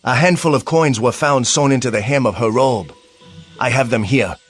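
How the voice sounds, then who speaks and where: An actor playing a loyal, sober Korean army general who speaks respectfully and formally in a video game cutscene. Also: male voice, medium pitch, moderate pace, lightly acted. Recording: game audio with music underneath.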